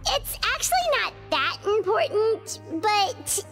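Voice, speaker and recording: A woman voicing a tiny, excitable childlike fairy companion in a video game, squeaky and full of exclamation. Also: takes it slowly, exaggerated voice. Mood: chirpy, friendly. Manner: exclaiming, speaks of herself in third person. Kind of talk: video game character acting. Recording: music behind the voice.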